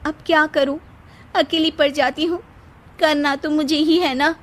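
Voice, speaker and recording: A scheming young wife from a children's cartoon story, acted out by a woman narrator. She pitches her voice very high and wheedles, sweet-talking and whining to get out of work. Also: fairly even intonation, a little slow. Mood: aggrieved, manipulative. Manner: wheedling, whiny, demanding.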